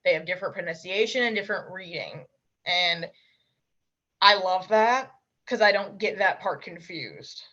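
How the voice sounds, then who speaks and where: A young woman with a bright, forceful voice chats casually as an interview guest. She is blunt and emphatic, tossing in quick asides. The sound is dull and lo-fi, like a remote call. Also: on the low side. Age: young adult. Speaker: interview guest, language learner.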